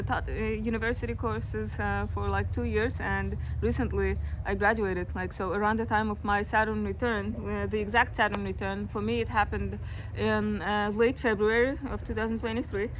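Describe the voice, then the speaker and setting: Halting young woman, full of fillers. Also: mid-range voice, a bit bright, slight background noise, poor audio. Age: late 20s. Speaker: astrology vlogger. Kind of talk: casual personal storytelling.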